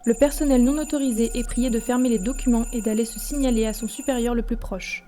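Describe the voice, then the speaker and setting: A soft-toned, higher female voice, an actress playing an official spaceship announcer. She gives formal, stern warnings impersonally, as in a science-fiction audio drama, over faint music. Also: fairly even intonation, quick pace, lightly acted.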